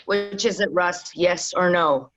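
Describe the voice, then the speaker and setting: A woman reading a calm, menacing female crime boss at a pilot table read, who speaks quietly and slips into threatening whispers. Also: fairly low pitch, slow, dull audio.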